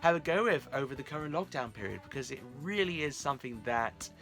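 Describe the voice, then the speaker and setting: Bright-voiced man chatting to viewers over music. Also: medium pitch, lively intonation, fast. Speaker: host. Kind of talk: casual vlog talk to viewers.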